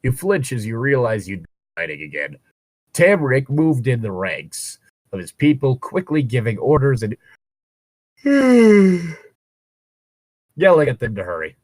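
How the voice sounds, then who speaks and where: A man's voice: mid-range voice, very animated, a little slow, long pauses, darkish tone, dry recording. A man reading a story aloud as a co-host, slipping into casual, sarcastic, mock-exasperated asides. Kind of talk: read-aloud narration with casual joking commentary.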